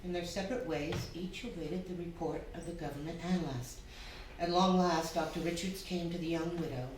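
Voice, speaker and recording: A performer playing a wry, measured narrator in a stage radio drama, telling the story deliberately. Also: mid-range voice, fairly even intonation, close to an everyday voice. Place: theatre stage, live performance. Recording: very echoey hall, distant mic, faint noise.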